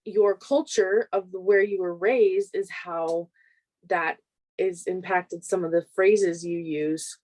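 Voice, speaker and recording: A woman's voice: on the low side, steady tone, takes it slowly, darkish tone, poor audio. A woman co-hosting a podcast, friendly and affirming, often backing up the others with "yeah" and short replies. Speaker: podcast co-host. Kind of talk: casual podcast discussion.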